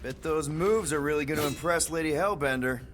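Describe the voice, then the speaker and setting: An actor playing a wry, easygoing space-crew leader in a video game, speaking casually. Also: male voice, fairly high pitch, a little fast, a bit bright, a little hiss or hum, natural voice. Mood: wry, teasing. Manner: casual, hesitant, teasing.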